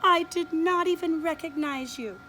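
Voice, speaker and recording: A woman's voice: moderate pace, sharp tone, a little hiss or hum. A woman doing a sweet, gushing little girl. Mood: overjoyed. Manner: high, gushing, tender.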